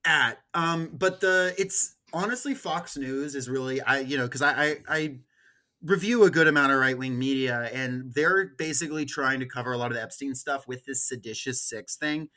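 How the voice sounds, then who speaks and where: A man's voice: medium pitch, moderate pace, bright, forceful tone. Sardonic man, casual political commentary. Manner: sardonic, profane. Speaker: podcast co-host.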